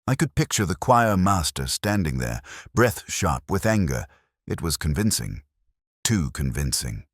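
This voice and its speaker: A man with an extremely low voice narrates a detective mystery in the first person. His delivery is measured and solemn, with expressive swings in pitch. The recording is dry and close. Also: dry recording.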